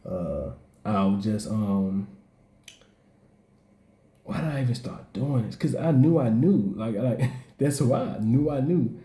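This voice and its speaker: A man's voice: medium pitch, expressive, slow, pauses often, warm tone, echoey room. A man with a Southern accent, rambling in profane street slang as he gives casual commentary on his vlog. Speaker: blogger.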